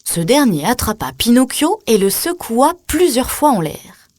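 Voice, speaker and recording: A woman's voice: a little high, very animated, a little slow, a little mellow, no echo, studio quality. A woman reading a scripted children's story as a storyteller, putting questions to the young listener. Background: music.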